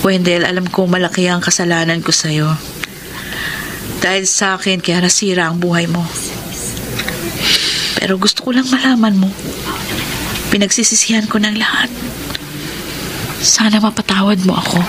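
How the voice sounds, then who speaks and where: An actress with a Filipino accent playing a repentant, gentle, hopeful woman in a sentimental radio drama. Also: fairly low pitch, fairly even intonation, moderate pace, pauses often, noisy background, lightly acted. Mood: remorseful, pleading. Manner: earnest, sentimental. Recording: prominent music bed under radio drama.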